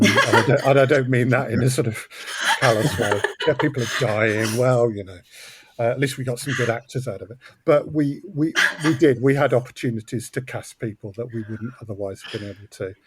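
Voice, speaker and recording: A man's voice: mid-range voice, husky. A man who makes audio drama, talking casually as an interview guest in a thoughtful, hesitant way, often repeating words.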